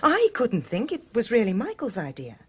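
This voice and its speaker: A troubled married woman in a radio drama, husky and a little high. Her delivery swings widely as she pleads and placates with dramatic intensity. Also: huge pitch swings, moderate pace, heavily acted. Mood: anxious. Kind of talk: radio play acting.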